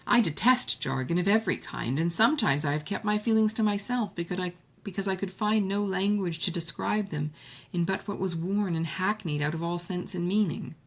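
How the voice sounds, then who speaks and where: A woman's voice: lowish voice, a little fast, highs cut off. A woman voicing an ardent, outspoken romantic young lady in an audiobook of a Regency novel, emphatic and formal. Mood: indignant, fervent. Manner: emphatic, impassioned, formal period diction. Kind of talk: audiobook character dialogue.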